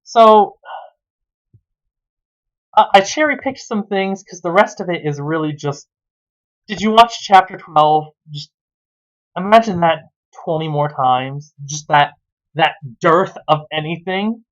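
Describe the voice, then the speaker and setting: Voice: mid-range voice, slow, long pauses, highs cut off. A sarcastic, exasperated reviewer who swears freely while giving casual commentary on a story.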